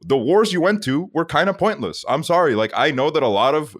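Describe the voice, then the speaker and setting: A man's voice: fairly high pitch, lively intonation, a little fast, a bit bright, no room sound, studio quality. A young man from Estonia co-hosting a podcast, joking and laughing through casual chat with frequent restarts. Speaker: podcast co-host.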